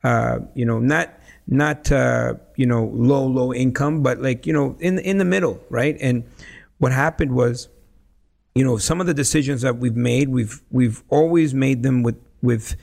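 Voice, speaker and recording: Salvadoran-born investor guest, gravelly husky voice, chatty storyteller. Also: male voice, medium pitch, a little slow. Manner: animated storyteller, frequent "you know" and "right?". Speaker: guest, real estate investor. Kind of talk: casual podcast conversation.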